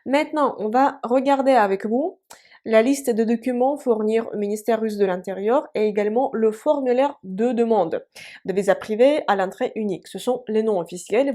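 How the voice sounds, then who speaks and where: Russian-born woman teacher, mellow voice. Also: medium pitch. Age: 30s. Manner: chatty, hesitant with many "euh" fillers. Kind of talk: casual explanatory online lesson.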